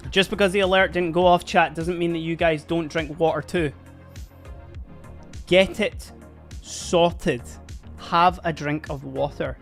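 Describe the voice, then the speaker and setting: A live-streaming miniature painter chatting casually and giggling a lot while painting, a little hoarse from laughing. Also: medium pitch, fairly even intonation, slow, long pauses, a bit bright, soft background music. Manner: chatty, giggly, rambling, addresses chat.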